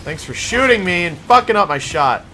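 A man's voice: sits high, animated, normal speed, noisy background. A man giving casual, profane, self-deprecating commentary while playing a shooter game. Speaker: gaming streamer playing a shooter. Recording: game music and gunfire behind voice. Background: shooter game music and gunfire.

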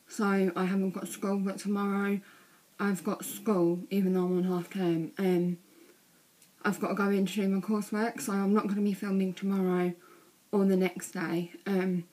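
A teenage girl, chatting casually in a vlog from her bedroom, a bit croaky from being ill, with lots of ums. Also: medium pitch, a little mellow. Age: teens. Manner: chatty, rambling with many ums. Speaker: vlogger. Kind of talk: casual vlog showing bag contents. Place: small bedroom indoors.

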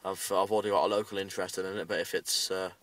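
A man's voice: low voice, flat delivery, fast, a bit bright, distant mic. A British man giving a casual, matter-of-fact, rambling video update, recorded inside a parked car. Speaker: amateur radio hobbyist making a video update.

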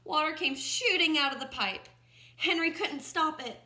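A young woman with a bright, slightly high voice reads a children's picture book aloud. She is expressive and teacherly, stepping out of the story to comment or put questions to young listeners. The room she records in is audibly echoey. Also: normal speed, a little hiss or hum. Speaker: first-grade teacher reading aloud. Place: small room at home, indoors by a window. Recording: roomy, echoing home recording.

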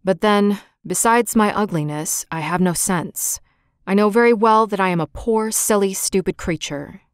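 A woman reading aloud and voicing a humble, gentle male beast in a low, breathy, whispered voice. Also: moderate pace, no room sound, studio quality, close to an everyday voice. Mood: mournful.

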